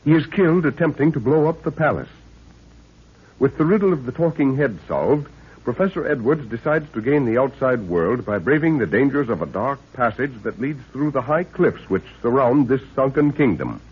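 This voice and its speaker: An actor serving as the grave, dramatic narrator of an old jungle mystery radio serial, reading scripted narration. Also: male voice, medium pitch, lively intonation, moderate pace, husky. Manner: portentous, dramatic. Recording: tinny, band-limited 1930s radio recording.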